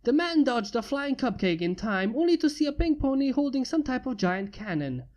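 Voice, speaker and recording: A woman narrating fanfiction in a plain, steady amateur reading. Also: high voice, moderate pace. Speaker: fanfiction reader.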